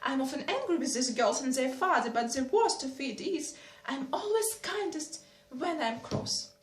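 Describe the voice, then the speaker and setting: A woman reading as a fussy, quick-tempered noble mother who scolds and exclaims. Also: sits high, expressive. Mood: brisk. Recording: roomy echo.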